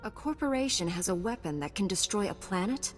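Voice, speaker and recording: A woman's voice: medium pitch, lively intonation, brisk, big-room sound, lightly acted. A woman playing a composed, direct heroic knight in a video game. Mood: pointed. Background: music.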